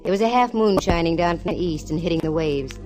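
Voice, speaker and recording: Hard-boiled lady detective for vintage radio mystery, played by a woman: lowish pitch, cool, quick with a wisecrack. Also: darkish tone, lightly acted, poor audio. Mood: hard-boiled narration. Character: cool, wisecracking female private eye. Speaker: lead actress in radio detective show. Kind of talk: 1940s radio acting, first-person narration. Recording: vintage 1940s radio recording.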